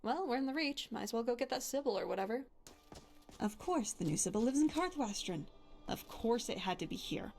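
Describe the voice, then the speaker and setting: A woman's voice: on the high side, lively intonation, a little fast, darkish tone. A woman playing a tough, sardonic but caring adventuring companion in a fantasy video game. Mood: sarcastic, exasperated. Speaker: voiced follower in a game mod.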